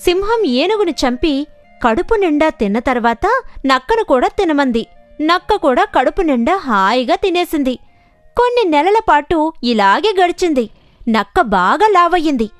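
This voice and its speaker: A woman with a high voice tells a children's tale in a scripted, storybook style. Her delivery swings widely in melody, with soft music playing behind her. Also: sing-song, moderate pace, no echo.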